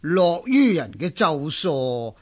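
A man with a Hong Kong Cantonese accent playing an imperious, world-weary emperor with a husky voice in a historical radio drama. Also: on the high side, big pitch swings, takes it slowly, full character voice. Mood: weary, resigned. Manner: imperious, sighing, grumbling. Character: money-hungry, world-weary male emperor of imperial China.

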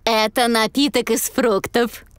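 A woman voicing a friendly rabbit smoothie seller in a preschool cartoon dub. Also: on the high side, level tone, unhurried, close to an everyday voice. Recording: music behind.

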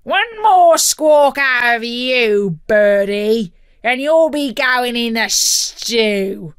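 Woman as a gruff, drawling pirate captain. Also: fairly high pitch, animated, extremely slow, sharp tone, dry recording, clean studio sound, heavily acted. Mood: snarling. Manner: commanding, drawling, snarling, pirate slang. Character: gruff, menacing male pirate captain with a hook.

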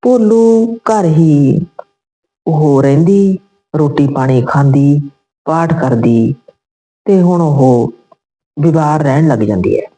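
A woman reading a scripted moral story as narrator, in measured phrases. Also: low voice, animated, dark tone, soft background music, poor audio.

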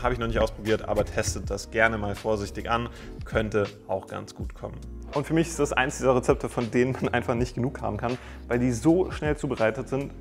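A young man hosting a cooking video, explaining each step in a friendly, chatty way with small jokes, recorded on a lapel mic in a bright home kitchen. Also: medium pitch. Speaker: cooking channel host. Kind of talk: casual cooking tutorial.

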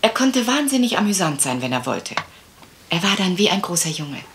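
A woman's voice: on the low side, big pitch swings, normal speed, a bit bright, slight background noise. A woman playing a troubled, wistful lady of a manor house in a radio crime drama, polite and hesitant. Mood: recounting, uneasy.

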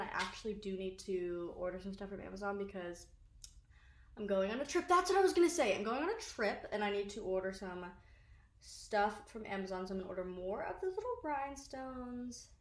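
A young woman chatting loosely to her viewers in a small bedroom, full of filler words and little asides. Her voice sits at an ordinary middle pitch. The room rings back with a noticeable echo, caught on a phone camera set low. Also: animated, fairly quick. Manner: rambling, chatty, many "like" fillers, self-deprecating. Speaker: social media creator. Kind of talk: casual chat to camera.

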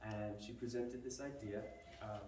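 A young man giving a halting, earnest ministry update in a large church sanctuary, heard from a distant camera with no microphone. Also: fairly low pitch, steady tone, a little mellow. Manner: earnest, slow and halting with fillers. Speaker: missionary guest speaker. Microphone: far camera, no microphone. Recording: echoey hall from far off, background hiss.

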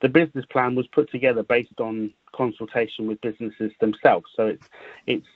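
A man giving a semi-formal spoken update as a business representative on a conference call, measured and halting, often repeating words. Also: mid-range voice, fairly even intonation, no echo. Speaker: business improvement district representative. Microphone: phone or conference call. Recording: muffled narrow-band conference call line.

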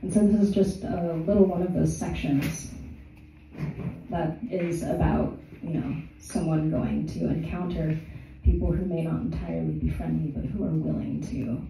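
A woman's voice: sits low, normal speed, vocal fry, warm tone, a little hiss or hum. A middle-aged woman author chatting hesitantly with fillers at an open mic in a small gallery, then reading her story. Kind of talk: casual talk and story reading. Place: small art gallery, indoors. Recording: echoey gallery from far off.

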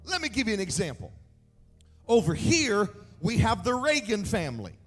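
A man, preaching an energetic sermon with a handheld mic on a large church stage, emphatic and shouting key points. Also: high-pitched, moderate pace, husky, soft background music. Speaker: pastor. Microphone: handheld microphone. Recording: echoey hall, mic set back.

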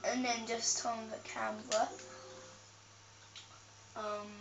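A woman's voice: a little high, flat delivery, takes it slowly. A young woman talking through a game tutorial, hesitant with many ums and self-corrections, heard from a camera filming a TV. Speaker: gameplay tutorial host. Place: room with a TV, off camera. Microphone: camera filming the TV screen. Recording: echoey, distant, dull, noisy camera audio.

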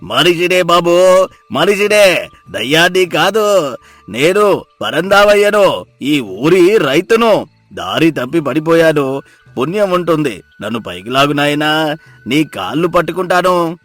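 A stubborn village miser as acted by a man for a moral tale, with a slightly high voice. He grumbles and pleads with theatrical flair. The sound is dry, with faint music beneath. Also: sing-song, unhurried, long pauses, a bit bright, dry recording. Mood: pleading. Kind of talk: dramatized moral story acting.

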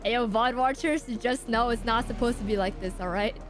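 A woman's voice: fairly high pitch, a little fast, music in the background. A woman live streamer giving casual, joking, sweary reactions while playing a game. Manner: playful, joking, swears freely.

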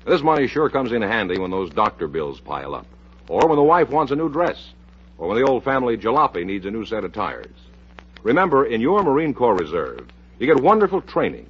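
An American man hosting a radio show in a 1950s style, pitching with polished, folksy, upbeat salesmanship. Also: sing-song, brisk, breathy edge. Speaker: radio announcer and host. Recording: muffled old radio transcription.

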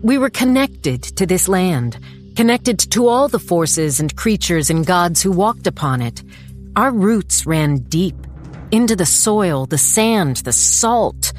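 A woman's voice: on the low side, very animated, slow pace, a little mellow, soft background music. A woman playing a determined, wry small-town police chief who narrates a dramatized audiobook in a firm, dry way. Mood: intense, foreboding. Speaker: narrator and lead character.

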